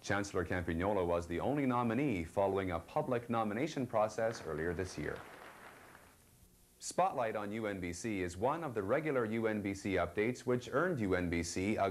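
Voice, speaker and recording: Low-voiced man narrating campus news. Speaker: program narrator.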